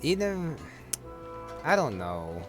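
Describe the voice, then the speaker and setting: A man's voice: a little high, very animated, slow pace. Casual male gamer, music behind. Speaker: gamer commentator. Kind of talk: casual gameplay commentary.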